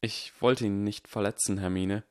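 A defiant young schoolboy wizard talks with a clipped, deadly calm, as a male narrator puts him on for an audiobook. The voice sits higher and louder than the narration around it. It is recorded close and dry. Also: moderate pace, smooth, no room sound, close to an everyday voice. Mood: icy calm.

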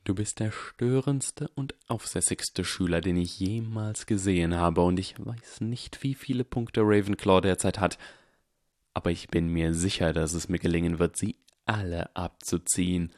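An icy, contemptuous male teacher drawls every word slowly with open scorn, as a man reading a story puts him on. The voice sits deep and low. It is recorded close and dry, with no room sound. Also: darkish tone, dry recording, close to an everyday voice. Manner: slow, icy and sneering.

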